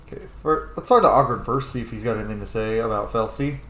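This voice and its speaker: Casting: male let's play streamer, mid-pitched, informal, in an echoey room. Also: fast talker, a bit bright. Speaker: gaming streamer, player. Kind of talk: casual let's play commentary. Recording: roomy echo, faint game noise.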